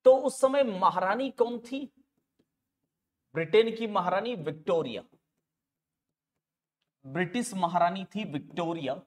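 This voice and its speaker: A man in his forties with a sore throat, lecturing students deliberately and repeating key phrases as he teaches on a lapel mic. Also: sits high, expressive. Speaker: history teacher for exam students. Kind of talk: classroom lecture with asides to students. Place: indoor studio classroom at a green board. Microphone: clip-on lapel mic.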